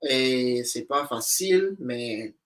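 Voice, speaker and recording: A man chatting casually about being a new father, hesitant and halting, often repeating words. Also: medium pitch, animated, fairly bright tone. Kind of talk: casual chat. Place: home in Korea.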